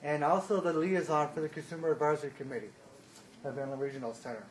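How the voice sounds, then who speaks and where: A man giving a loud, upbeat committee report at a board meeting, with many fillers and pauses. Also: on the high side, fairly even intonation. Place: large conference room. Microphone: table microphone. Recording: echoey room, some background noise.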